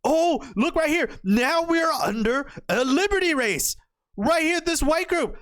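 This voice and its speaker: A slightly hoarse man commentating on a go match, exclaiming and trailing off as the game turns. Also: very high voice, unhurried. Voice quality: excitable, exclamatory, trails off and restarts. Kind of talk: excitable live game commentary.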